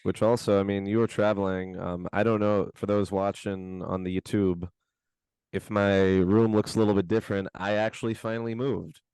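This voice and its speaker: A man co-hosting a podcast, his voice hoarse from screaming, chatting in a laid-back, slangy, rambling way from his bedroom at home. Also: lowish voice, flat delivery, normal speed, a little mellow, no room sound, rough recording. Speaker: podcast co-host. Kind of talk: casual podcast chat.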